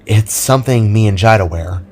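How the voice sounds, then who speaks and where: A man's voice: fairly low pitch, normal speed, darkish tone, a little hiss or hum, lightly acted. A man playing a hornless young spark creature, a self-conscious and earnest vigilante, in an audio drama. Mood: candid, musing.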